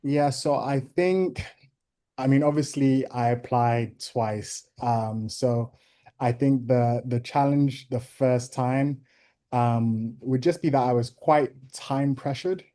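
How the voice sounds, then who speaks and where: Calm, low-key man on a video call. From Ghana. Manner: measured, thoughtful, with frequent ums. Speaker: interview guest.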